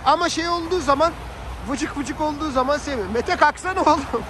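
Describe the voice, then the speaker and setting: Male vlogger, very high voice, casual and colloquial, handheld phone outdoors with clear street noise. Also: fast talker. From Turkey. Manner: colloquial, slangy, animated asides to family and viewers. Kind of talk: casual chatty vlog narration. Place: outdoors on a snowy city street. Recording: noisy outdoor street with traffic.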